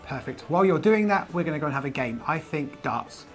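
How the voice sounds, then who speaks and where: A man's voice: fairly high pitch, lively intonation, brisk. A British man in his forties presenting a scripted promotional piece to camera in a chatty, enthusiastic way. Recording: clean voice over background music. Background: music.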